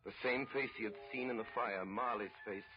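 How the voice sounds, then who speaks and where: A man narrating an old-time radio drama in measured, grave storytelling style. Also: lowish voice, a little hiss or hum. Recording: muffled old radio broadcast, faint music.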